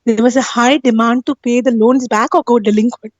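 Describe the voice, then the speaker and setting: A woman with a high voice talks through an explanation in a casual, insistent way, regularly tagging her points with questions for agreement. The audio is dry and somewhat dull, like a lo-fi call. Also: fairly even intonation, fairly quick, darkish tone, no room sound. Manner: insistent, often seeks agreement with tag questions. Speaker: guest on a live talk stream.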